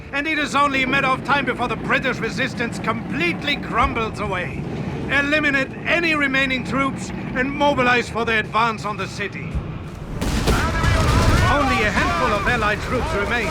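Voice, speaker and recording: High-pitched, commanding panzer general. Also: male voice, very noisy. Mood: emphatic, then focused, commanding. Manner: authoritative, formal, self-assured. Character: confident, commanding male German panzer general in wartime. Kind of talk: dramatic video game mission briefing.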